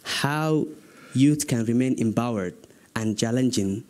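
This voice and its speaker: A man's voice: medium pitch, unhurried, darkish tone. A young man from Somalia, a youth leader putting a long, earnest question to a panel. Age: young adult. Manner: earnest, long flowing sentences.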